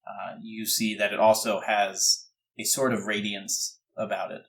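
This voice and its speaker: A man's voice: lowish voice, little pitch movement, a little slow, a bit bright, low-quality recording. A man improvising description as game master in a tabletop game.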